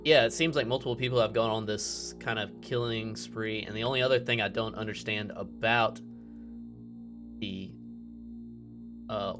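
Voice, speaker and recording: A man's voice: on the low side, fairly even intonation, moderate pace, pauses often. A man chatting casually with friends as a player in a tabletop game. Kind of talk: casual chat among friends. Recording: music playing behind the voice. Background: music.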